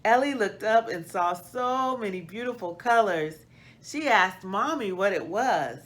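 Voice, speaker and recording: A middle-aged woman hosting a children's read-aloud, reading storybook style with deliberate, expressive phrasing. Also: mid-range voice. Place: small plain indoor room.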